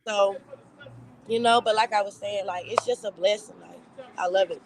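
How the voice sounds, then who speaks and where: A young woman with a raspy voice and a Southern accent, talking about her music in a relaxed interview. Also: mid-range voice, moderate pace, a crowd in the background, low-quality recording. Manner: relaxed, filler-heavy, upbeat. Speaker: rapper, interview guest. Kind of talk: casual interview chat.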